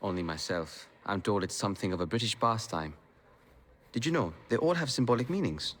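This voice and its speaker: An actor playing a shy, courteous, bookish man in a video game, speaking formally and gently. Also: on the low side, expressive, a little fast, a little hiss or hum. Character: polite, shy, bookish male assassin mentor. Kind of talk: video game cutscene acting.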